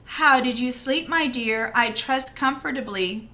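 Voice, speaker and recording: A woman's voice: high voice, a little slow, sharp tone. A woman voicing a scheming, sceptical storybook queen with an arch, knowing manner. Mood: falsely gracious. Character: scheming, sceptical fairy-tale queen, falsely gracious hostess.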